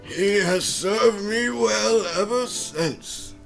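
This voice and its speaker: Shrill, haughty old dying millionaire. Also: male voice, extremely slow, creaky, over music, exaggerated voice, low-quality recording. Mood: dramatic, bitter. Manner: haughty, sputtering, theatrical. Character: sick, bitter, imperious old rich man near death. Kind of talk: comic radio-play acting.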